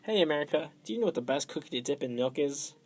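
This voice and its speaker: A man's voice: fairly high pitch, lively intonation, fast talker, breathy edge. A man voicing a folksy, earnest announcer in a 1920s radio cookie commercial. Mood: salesy. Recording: noisy amateur recording.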